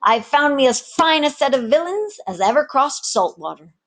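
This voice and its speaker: Woman acting a roguish pirate mate, pitched up. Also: very animated, normal speed. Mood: commanding, pleased. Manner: rough sailor slang, bullying. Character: roguish, scheming one-armed pirate chief mate, male, bossy.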